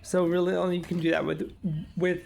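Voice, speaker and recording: A man's voice: high-pitched, level tone, brisk, creaky, darkish tone, slight background noise. A man in his twenties chatting casually in a vlog, rambling with lots of "like" and "um". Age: 20s. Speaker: vlogger.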